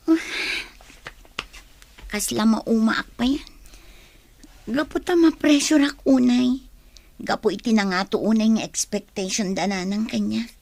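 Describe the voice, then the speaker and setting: A woman's voice: on the high side, vocal fry, darkish tone, full character voice. A woman playing a troubled young student under family pressure in a radio drama, with an Ilocano accent. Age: young adult.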